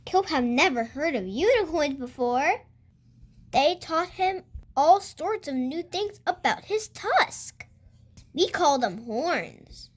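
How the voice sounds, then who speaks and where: A child reading a picture book aloud for other kids, with expressive, emphatic storytelling. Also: high voice, slow. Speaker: host of a read-aloud channel.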